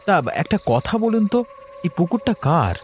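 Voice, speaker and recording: A man's voice: high-pitched, big pitch swings, normal speed, a little hiss or hum, voice pushed up. A man voicing a confident, eager young city man in a cartoon story. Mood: curious.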